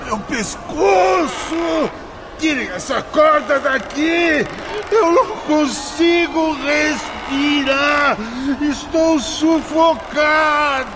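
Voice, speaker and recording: An actor playing a tormented, choking soul in a radio melodrama, shouting desperately amid crowd noise. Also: male voice, very high-pitched, very slow, heavily acted. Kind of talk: melodramatic radio drama acting. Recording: noisy, crowded bed of background sound.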